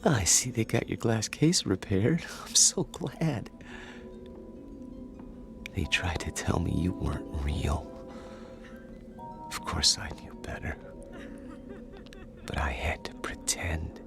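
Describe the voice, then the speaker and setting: An actor playing a lonely, gentle, childlike man in a radio drama, tender and confiding in a breathy half-whisper. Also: deep voice, takes it slowly, pauses often, music in the background, full character voice. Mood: tender, wistful. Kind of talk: old-time radio drama acting.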